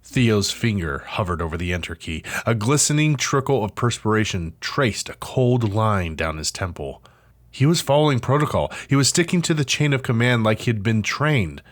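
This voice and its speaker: A man narrating an interactive audio drama in a suspenseful, theatrical scripted style with dramatic pauses. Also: fairly low pitch. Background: music.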